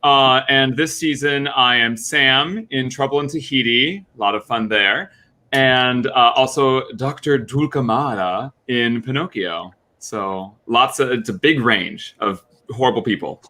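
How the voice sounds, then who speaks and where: Male singer, medium pitch, bright projected tone, wry informal chat. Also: unhurried. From Denver, Colorado. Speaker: opera singer, bass-baritone. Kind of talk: casual self-introduction chat.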